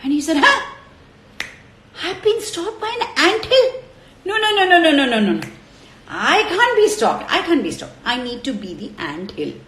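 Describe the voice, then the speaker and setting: A woman's voice: sits high, very animated, moderate pace, fairly bright tone, slight background noise. A woman voicing a restless male shape-shifter who is never satisfied, whiny and exclaiming. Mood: indignant. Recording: echoey room.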